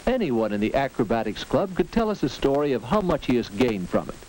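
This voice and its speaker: Male narrator, American, mid-century classroom film style: instructive, animated intonation, over a faintly noisy vintage soundtrack. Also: mid-range voice, brisk. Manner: instructive, clearly addressing the viewer. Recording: old film soundtrack with hiss, clicks.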